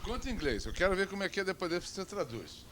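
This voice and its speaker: A man's voice: sits high, very animated, fast talker, a bit bright, a little hiss or hum. A man hosting a TV interview, prodding his guest with short questions and replies. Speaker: TV talk show host. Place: TV studio.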